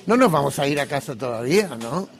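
A man's voice: mid-range voice, big pitch swings, a little fast, vocal fry, husky. A man informally moderating an event, conversational and often checking in with questions. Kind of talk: informal address to an audience.

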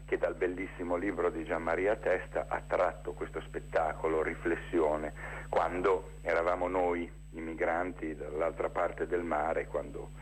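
A man speaking spontaneously as an interview guest, explaining things with mid-phrase pauses and repeated words for emphasis. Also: deep voice, slight background noise. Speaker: interview guest, theatre director presenting a festival. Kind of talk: spontaneous informational interview talk.

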